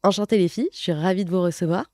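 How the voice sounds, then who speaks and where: Podcast host, woman, late twenties, lowish register, conversational, dry sound. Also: rapid-fire, a little mellow, dry recording. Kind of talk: conversational interviewing at a talk event.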